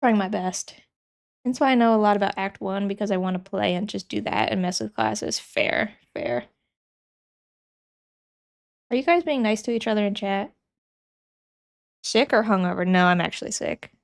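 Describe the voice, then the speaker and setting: Sniffly young woman with a gravelly voice. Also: mid-range voice, moderate pace, long pauses. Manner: chatty, reads chat aloud and thinks aloud while working. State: sick and sniffly. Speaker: streamer. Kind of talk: casual live-stream chat.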